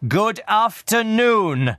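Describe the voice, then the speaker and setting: A man's voice: mid-range voice, expressive, measured pace, a bit bright, dry recording, pitched above the performer's own voice. A man voicing a stern, grumpy, miserly old uncle in a storybook reading, snapping curtly and scornfully. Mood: angry, dismissive.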